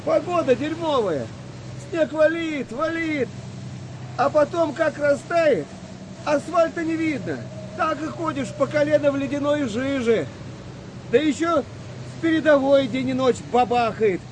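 An actor playing a seasoned, philosophical British sailor in a Second World War audio play, talking in a colloquial, reflective way. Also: male voice, very high-pitched, a little slow, noisy background. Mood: wry, gruff. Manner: colloquial, rough-spoken, reflective.

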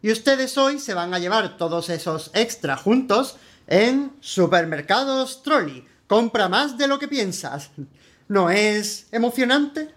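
A man's voice: high-pitched, lively intonation, slow, fairly bright tone, exaggerated voice. Man acting a smarmy, gushing supermarket salesman. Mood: gushing salesmanship. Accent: Spanish from Spain. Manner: theatrical, over-polite sales patter. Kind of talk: radio play acting.

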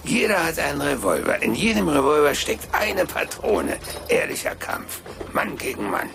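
An actor playing a smug, treacherous show boss in a radio drama, theatrical and mockingly polite, gleefully cruel. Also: male voice, on the high side, very animated, normal speed, creaky, exaggerated voice. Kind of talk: German radio drama acting.

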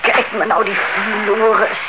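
A woman's voice: medium pitch, little pitch movement, takes it slowly, lightly acted. An actress playing a sensible, sarcastic younger woman in a radio drama, impatient, mocking and bossy. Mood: brisk, bossy. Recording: muffled, very noisy old radio recording.

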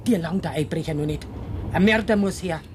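A woman's voice: lowish voice, fairly quick, darkish tone, a little hiss or hum, lightly acted. An actress playing a hard, scheming, middle-aged mother who quarrels in Bavarian dialect in a radio drama. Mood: cold, scolding.

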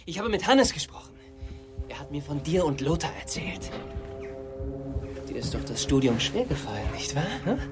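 An actor playing an anguished, obsessed man confronting a friend who betrayed him, insistent and halting, in a German radio drama. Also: fairly high pitch, sing-song, music in the background. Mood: accusing.

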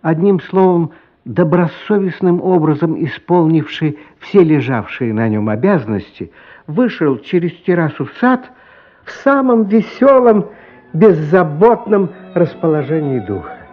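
A man playing the expressive narrator of a radio play, telling a childhood story in a theatrical way that savours each phrase. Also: fairly high pitch, a little slow, muffled recording. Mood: savouring, dramatic.

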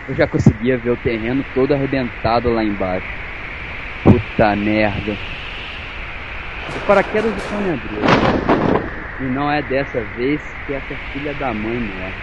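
A man's voice: mid-range voice, expressive, normal speed, pauses often, highs cut off, natural voice. A man with a Brazilian accent playing a cynical, nervous, foul-mouthed soldier who narrates an audio drama in the first person. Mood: tense. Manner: sardonic, colloquial, swearing. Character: cynical, nervous, foul-mouthed male soldier piloting giant war machine. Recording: clearly noisy, engine and outdoor ambience.

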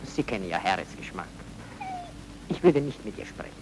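An effusive, evasive Viennese baron, animated pitch. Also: male voice, brisk, noisy background. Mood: guarded. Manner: courteous, effusive, theatrical, evasive. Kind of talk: radio drama acting.

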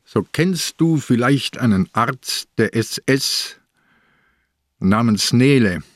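An actor playing a sick old police commissioner, blunt and insistent, in a German radio crime drama. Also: male voice, mid-range voice, lively intonation, takes it slowly, no echo, studio quality. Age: older.

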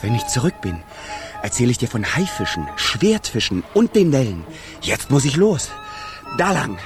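A man's voice: mid-range voice, very animated, moderate pace, over music. A man playing a moody wind spirit in a German children's radio play, with a theatrical, sighing delivery. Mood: giddy, boastful. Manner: theatrical, sighing, self-pitying or giddy. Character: Udo Wind, moody, whiny, ticklish male wind spirit.

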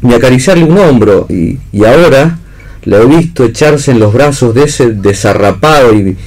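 A man's voice: medium pitch, lively intonation, moderate pace, darkish tone. An actor playing a jealous, lovesick man in a theatrical play reading. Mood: anguished longing. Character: jealous lovesick man, proud of being male. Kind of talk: read-theatre stage acting on radio.

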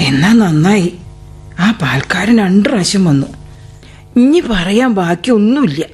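A woman's voice: mid-range voice, animated, darkish tone, natural voice. An actress in a radio drama playing a fussing, doting middle-aged mother. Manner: fussing, hurried. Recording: radio drama with faint music.

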